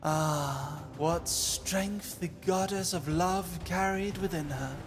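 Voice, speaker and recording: A man's voice: high voice, music in the background. A man playing a wistful, theatrical storyteller, narrating a visual novel in a lyrical scripted style. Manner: lyrical, deliberate.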